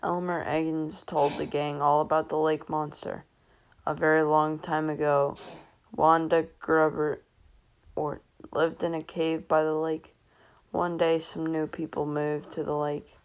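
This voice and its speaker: Low, creaky young woman reading a story. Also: flat delivery, unhurried. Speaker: storybook reader. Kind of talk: scripted story narration.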